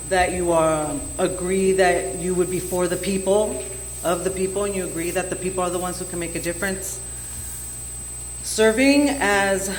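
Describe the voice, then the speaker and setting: A woman in the audience at a community meeting, asking a candidate a pointed question in a measured, hesitant way with frequent pauses. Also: lowish voice. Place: banquet room at a community meeting. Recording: echoey hall with faint hum.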